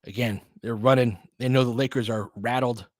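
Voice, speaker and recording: A man's voice: mid-range voice, steady tone, normal speed, dry recording. Casual man calling basketball, close dry sound. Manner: informal, candid, opinionated. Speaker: play-by-play host of a fan stream. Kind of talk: casual basketball play-by-play and commentary.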